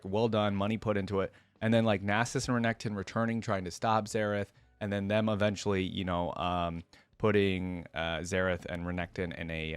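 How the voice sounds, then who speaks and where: Male reaction host, lower-pitched, dry close sound, reads stories aloud and comments casually. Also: no room sound.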